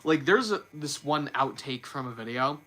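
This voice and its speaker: A man's voice: mid-range voice, expressive, moderate pace, sharp tone. A man hosting a casual livestream and talking off the cuff. He stumbles, restarts his sentences and repeats words often. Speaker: livestream host.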